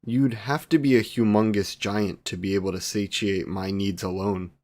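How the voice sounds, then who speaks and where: A man's voice: fairly low pitch, steady tone, moderate pace, a little mellow, lightly acted. A man playing a courtly, devoted, youthful vampire boyfriend in a romantic audio roleplay, with archaic, formal wording and tender endearments. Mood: tender, doting. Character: courtly, devoted 128-year-old male vampire boyfriend, youthful, doting.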